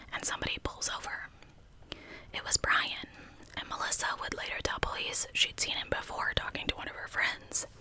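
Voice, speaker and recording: Woman whispering a true crime ASMR story. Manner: hushed whisper throughout; conversational, informal retelling.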